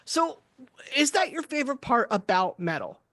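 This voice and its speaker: A woman's voice: sits high, very animated, moderate pace, fairly bright tone, dry recording, studio quality. A woman hosting an interview podcast, asking rambling questions with enthusiasm and frequent laughter. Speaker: podcast host. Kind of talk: casual interview chat.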